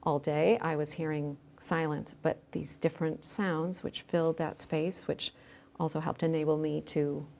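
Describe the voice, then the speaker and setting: A woman's voice: deep voice, little pitch movement, normal speed, vocal fry, a little mellow, slight background noise. A woman speaking as a guest in a conversational podcast interview, phrasing things hesitantly and letting thoughts trail. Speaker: guest, editor and audio creator.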